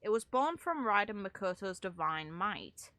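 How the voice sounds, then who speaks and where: A woman with a bright, forceful voice reads story text aloud in a casual way. She breaks off for sarcastic, off-the-cuff comments and sometimes stumbles over her words. The sound is dry, with no room echo. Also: medium pitch, sing-song, moderate pace. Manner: wry, sarcastic asides, stumbles while reading. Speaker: streamer reading and reacting to game lore.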